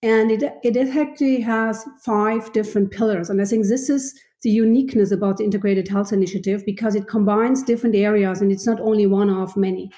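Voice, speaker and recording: A woman from Germany with a German accent, a university vice president answering interview questions in a thoughtful, measured way with frequent pauses. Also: medium pitch, fairly even intonation, warm tone, roomy sound. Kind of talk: podcast interview.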